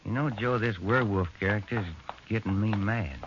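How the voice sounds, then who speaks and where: A man's voice: on the low side, moderate pace, music in the background, close to an everyday voice. A man playing a weary, grumbling police detective's partner in a 1940s radio drama, talking in a folksy, conversational way. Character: weary, grumbling male police partner, loyal and plain-spoken. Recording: noisy, dull 1940s radio recording.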